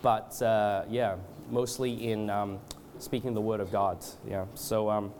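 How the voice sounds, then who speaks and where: A man from Australia and Canada with a cold, speaking as a preacher in an informal, halting, hesitant way. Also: fairly low pitch, little pitch movement, a little mellow, a little hiss or hum. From born in Australia, moved to Canada. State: has a cold. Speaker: guest preacher at a church revival gathering. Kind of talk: informal sermon with congregational prayer.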